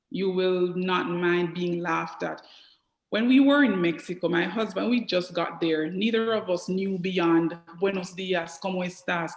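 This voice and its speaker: A woman's voice: on the low side, gravelly. A middle-aged woman with a Jamaican accent, telling her own story as an interview guest in an echoey room. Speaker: interview guest, dental therapist. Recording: roomy, echoey video call.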